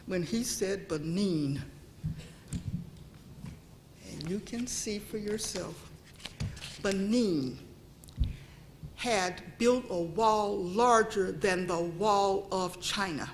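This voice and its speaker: A woman's voice: mid-range voice, slow pace, a little hiss or hum. A woman speaking as a guest at a church event. She talks conversationally, checks in with the audience and drops to a near whisper to stress a point. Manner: conversational, asks the audience "okay?". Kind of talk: public talk on history. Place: church meeting room.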